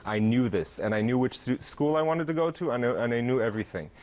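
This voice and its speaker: A middle-aged man who grew up in Israel, a theater performer answering interview questions, pausing often with fillers. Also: medium pitch, lively intonation. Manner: warm, hesitant with many fillers. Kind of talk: TV interview guest.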